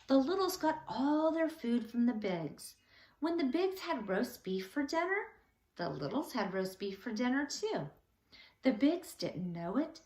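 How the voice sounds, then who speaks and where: A woman reads a children's story aloud like a teacher at storytime. Her voice sits fairly high and swings widely in pitch as she tells it with deliberate animation. The room adds a noticeable echo.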